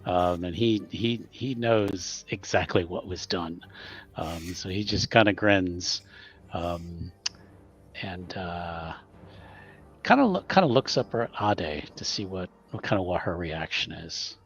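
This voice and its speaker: A man's voice: low-pitched, expressive, takes it slowly, slightly rough. A man casually explaining his character's actions as a tabletop game player, on a slightly dull microphone.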